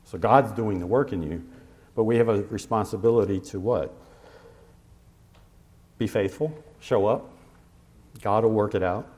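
Male pastor, lower voice, conversational sermon delivery with fillers, lands each scripture reference slowly. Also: a little mellow. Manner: slows to stress scripture references.

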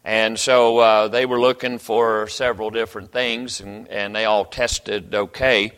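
An older pastor speaks from the pulpit in a bright, forceful voice. His talk is folksy and rambling, full of false starts, and it rings through a large church hall with a long echo. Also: on the low side, little pitch movement, unhurried, smooth. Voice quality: folksy, rambling with many restarts. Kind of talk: sermon. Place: church sanctuary.

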